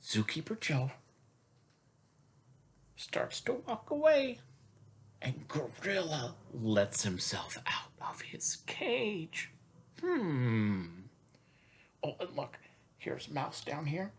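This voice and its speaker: Male storytime reader for small kids: unhurried, lively pitch swings, chatty and questioning. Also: slightly rough. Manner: expressive, chatty, asking questions as he reads. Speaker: coach reading to young children. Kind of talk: children's picture-book storytime.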